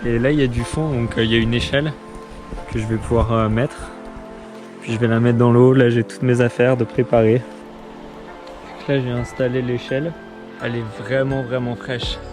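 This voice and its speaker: Give me a young man with a sore throat and a cold, chatting tiredly to camera outdoors in a fairly flat, even voice. His talk is casual and addressed to his followers. Loud music plays under him. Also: mid-range voice, normal speed. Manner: chatty, tired, says "les gars" to viewers. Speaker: touring cyclist vlogger. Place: outdoors on the road. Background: loud background music.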